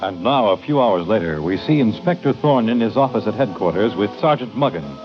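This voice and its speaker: A man's voice: on the low side, animated, fairly quick, loud music behind the voice. A man narrating a scripted scene change as an old-time radio announcer. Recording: muffled old radio recording.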